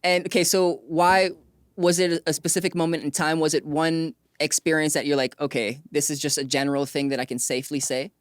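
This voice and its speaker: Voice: medium pitch, steady tone, a little fast, dry recording. Chatty interview host, dry close sound. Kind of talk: upbeat interview hosting with scripted introduction.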